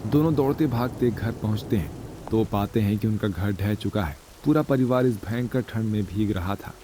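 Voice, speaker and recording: A man reads a scripted moral tale as narrator. His voice is fairly low and quite mellow, with faint noise behind it. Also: moderate pace. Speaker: story narrator.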